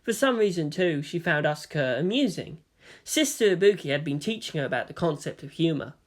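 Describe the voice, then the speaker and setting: A man reading fan fiction aloud as an expressive audiobook narrator. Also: a little high, fairly quick.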